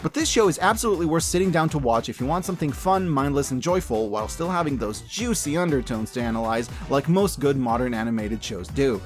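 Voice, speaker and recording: A man's voice: mid-range voice, fast talker, hardly any pauses. A man hosting a scripted video-essay review, speaking emphatically with playful exaggeration and joking asides. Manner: animated, emphatic, hyperbolic, jokey asides. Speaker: host reviewing animated shows and media. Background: background music.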